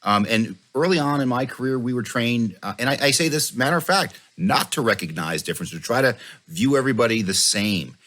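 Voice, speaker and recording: A middle-aged man interviewing a guest, asking thoughtful, measured questions with frequent hesitant fillers. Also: mid-range voice, lively intonation, a bit bright. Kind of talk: conversational interview.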